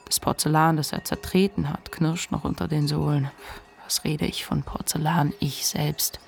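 An actor playing a reflective, ashamed narrator confiding a memoir in a measured literary radio monologue. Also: mid-range voice, flat delivery, vocal fry, close to an everyday voice. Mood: reflective, confessional.

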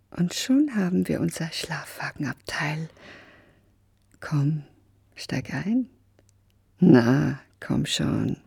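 An actress playing a playful, flirtatious small-town secretary with a breathy, husky, unhurried voice. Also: female voice, low voice, dark tone, exaggerated voice. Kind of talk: naturalistic film acting.

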